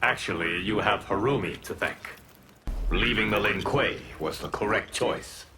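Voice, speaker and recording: An actor voicing a serene, wise guardian god in a fighting game, calm and formal. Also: male voice, on the low side, measured pace, background noise, natural voice. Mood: calm, solemn. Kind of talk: scripted fighting game dialogue.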